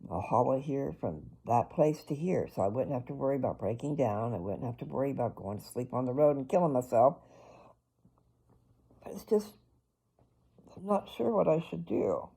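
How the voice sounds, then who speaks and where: Gravelly, husky older woman vlogging. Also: very deep voice, lively intonation, normal speed, dark tone. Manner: rambling, chatty, talks straight to viewers. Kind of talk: casual personal vlog.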